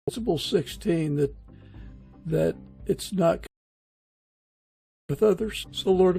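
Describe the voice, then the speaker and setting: A man's voice: fairly high pitch, gravelly, dark tone, husky. A man speaking informally and earnestly as the host of an interview podcast. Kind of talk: casual interview and informal prayer.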